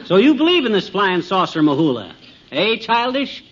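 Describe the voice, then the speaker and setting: A very high-voiced, blustering husband in a mid-century radio comedy. He is folksy and wisecracking, swinging in pitch as he scoffs and gets excited. The old recording is muffled and noisy. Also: moderate pace. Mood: scoffing. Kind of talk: 1950 radio comedy acting.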